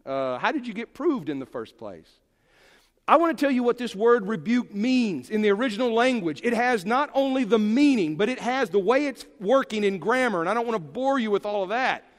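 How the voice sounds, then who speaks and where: A man preaching a sermon in an emphatic, animated way, swinging from forceful lines to hushed ones. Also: high voice, brisk. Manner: animated, emphatic preaching with sudden drops to quiet. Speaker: pastor. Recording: long hall reverb.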